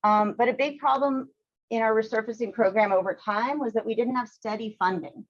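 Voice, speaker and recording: A woman's voice: a little high, fairly quick, dry recording. Upbeat woman official, dry muffled audio. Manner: upbeat, many ums. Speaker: city transportation official. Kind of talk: testimony at a council hearing.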